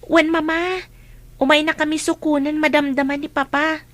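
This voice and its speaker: A woman's voice: high-pitched, level tone, normal speed, a bit bright, dry recording. A Filipino woman playing a hesitant, respectful young daughter in a radio drama. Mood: apologetic.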